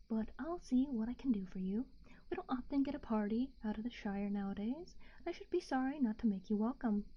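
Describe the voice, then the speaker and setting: Woman voicing a busy, talkative innkeeper, whispered and rushed; muffled recording. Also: fairly high pitch, natural voice. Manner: whispered, hurried and chatty. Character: bustling, forgetful, talkative male innkeeper. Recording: muffled lo-fi audio.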